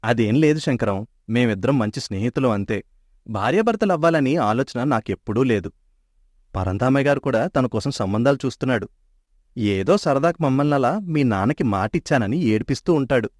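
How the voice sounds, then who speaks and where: A man plays a sharp-tongued young man who trades jokes with an old friend and talks others round with ease. His voice has a middling male pitch and is recorded dry, right at the mic. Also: lively intonation, no room sound, natural voice. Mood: persuasive. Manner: playful, quick-tongued and persuasive. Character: cheeky young man, bickering childhood friend. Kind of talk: animated storybook dub. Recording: dry close studio mic.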